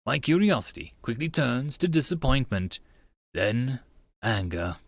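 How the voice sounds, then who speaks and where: A man's voice: medium pitch, very animated, a little slow, no room sound. A man narrating a first-person story in a dramatic audiobook style.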